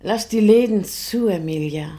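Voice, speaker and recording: An actress as a husky, dreamy lady. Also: medium pitch, a little slow, dark tone, lightly acted. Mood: dreamy, wistful. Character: dreamy, melancholy lady of the house. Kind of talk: poetic, slow radio drama acting.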